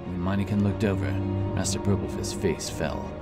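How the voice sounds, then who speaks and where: A man narrating a fantasy audiobook in a steady, dramatic storytelling manner. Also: low voice, normal speed. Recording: with loud music behind.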